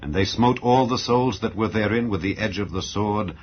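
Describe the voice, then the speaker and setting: Male scripture narrator, low register, solemn and declamatory, slightly muffled lo-fi sound. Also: moderate pace. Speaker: audio Bible narrator. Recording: dull lo-fi recording. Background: background music.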